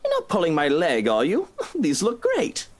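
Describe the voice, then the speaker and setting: A man's voice: on the high side, wildly swooping pitch, moderate pace. A man playing an affectionate, teasing, posh husband in a visual novel game. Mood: playful, pleased.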